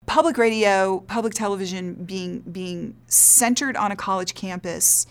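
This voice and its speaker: A woman's voice: medium pitch, measured pace. A woman theater director explaining her work in an earnest, reflective interview. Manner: earnest, explanatory, checks with "right?".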